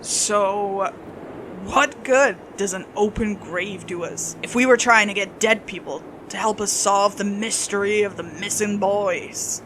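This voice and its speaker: A woman's voice: medium pitch, measured pace, noisy background, natural voice. A woman acting a reluctant, sarcastic, spooked young ghost hunter in a horror story, exasperated in manner.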